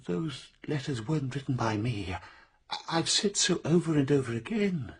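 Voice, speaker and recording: Casting: a downtrodden, troubled veteran in radio drama, very husky, halting, swooping in pitch. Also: male voice, lively intonation. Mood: timid. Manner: hesitant, halting, stammering repeats. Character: troubled, timid, downtrodden war veteran accused of murder.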